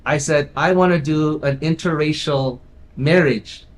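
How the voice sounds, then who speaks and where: Man, interview guest, filmmaker, casual chat, somewhat high voice, chuckling, loose restarts. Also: measured pace. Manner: easygoing, chuckling, frequent restarts and "you know". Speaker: film company owner and actor, guest.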